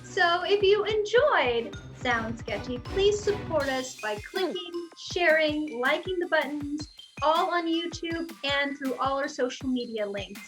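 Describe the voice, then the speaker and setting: A woman's voice: sits high, expressive, unhurried, music in the background. A woman show host giving a casual sign-off announcement.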